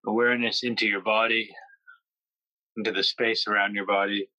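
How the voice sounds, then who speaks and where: A man with a clear-cut, bright tone guides a body-relaxation meditation. He speaks gently in short phrases with long pauses, keeping his pitch very flat. The recording is dry and slightly dull, with little sense of a room. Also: no echo. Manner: slow, gentle, pausing between phrases. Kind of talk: guided yoga nidra meditation.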